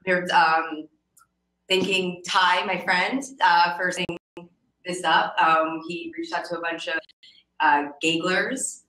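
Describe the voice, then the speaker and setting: A woman's voice: fairly low pitch, steady tone, slow, bright, forceful tone, recorded from a distance. A woman hosting a casual podcast interview, speaking in an informal, chatty way and often checking in with "right?". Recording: roomy echo, off the mic.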